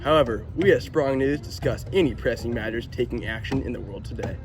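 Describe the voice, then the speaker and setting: A man's voice: mid-range voice, a little fast, over music. A young man acting as anchor of an amateur news segment, using a formal delivery.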